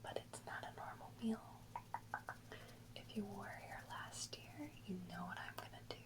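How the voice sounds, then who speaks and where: A woman's voice: medium pitch, lively intonation, normal speed. A woman hosting an ASMR video in a whisper, chatting casually and playfully to her viewers.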